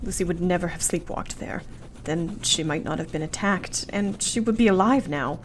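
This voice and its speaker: A woman plays a devoted, anxious young Victorian wife in a radio drama. Her voice is on the low side for a woman, and her words are formal and carefully chosen. Some ambient noise sits behind her. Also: normal speed, close to an everyday voice, poor audio. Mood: anxious, regretful. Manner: formal, precise period phrasing. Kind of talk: radio play acting.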